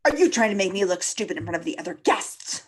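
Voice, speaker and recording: A woman's voice: mid-range voice, fast talker, lightly acted. A woman playing a blustering, indignant, dim army colonel in a script table read. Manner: blustery, excitable.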